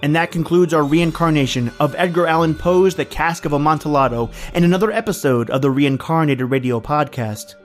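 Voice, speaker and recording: Male host for scripted podcast announcements, somewhat higher-pitched than average. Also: brisk, hardly any pauses, faint music behind.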